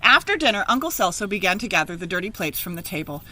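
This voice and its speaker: A woman reads a storybook to children like a teacher. Her voice is bright and mid-pitched, and her narration is expressive and deliberate in its emphasis. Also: a little hiss or hum, low-quality recording. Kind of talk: expressive children's read-aloud.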